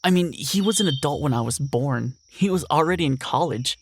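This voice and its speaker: A gravelly, mid-pitched young man's voice acted by a man as a lonely ranch heir in an audio drama. He is earnest, weary and reflective, over faint background noise. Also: expressive, brisk. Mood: resigned. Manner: plain, reflective monologue.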